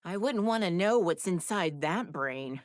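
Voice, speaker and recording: A woman's voice: lowish voice, lively intonation, a little slow, no room sound, clean studio sound. An actress voicing a clever, sassy young woman scientist in an anime game, with a wry, chatty, slightly mischievous manner. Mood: sassy, teasing. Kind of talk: scripted game voice lines.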